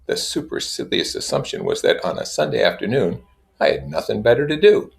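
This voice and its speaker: A man reading literature aloud in a measured way, with casual explanatory asides. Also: fairly low pitch, big pitch swings, vocal fry. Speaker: reader and commentator.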